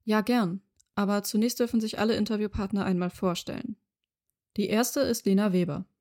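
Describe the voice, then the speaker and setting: A woman podcast reporter introducing interviewees and reading scripted narration clearly. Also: mid-range voice, fairly even intonation, a little fast, darkish tone.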